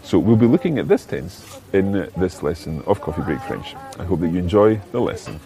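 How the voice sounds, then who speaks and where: A man's voice: deep voice, sing-song, normal speed, mellow. A man hosting a language lesson, explaining phrases in a scripted teaching style and putting questions to the listener. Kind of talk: clear scripted teaching narration, switching between languages.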